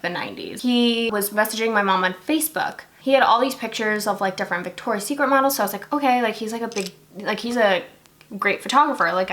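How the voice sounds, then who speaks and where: Young female beauty vlogger from Singapore, bright tone, rambling casual chat. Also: medium pitch, a little hiss or hum. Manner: chatty, lots of "like" fillers. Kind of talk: casual chat while doing makeup.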